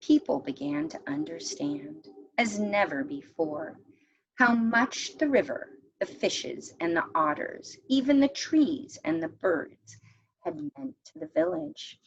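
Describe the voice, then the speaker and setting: A woman teacher reading a story aloud expressively, with deliberate dramatic pauses and hushed phrases. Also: medium pitch, very animated, gravelly, lo-fi sound.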